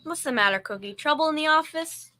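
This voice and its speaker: A woman's voice: sits high, animated, brisk, bright, forceful tone. A young female middle school student playing a sensible, weary, affectionate working woman in an old-time radio comedy.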